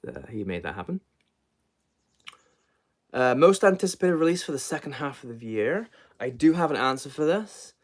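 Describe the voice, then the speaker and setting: A man hosting an unscripted book livestream, chatting casually in a rambling, hesitant way and often trailing off mid-thought. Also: mid-range voice.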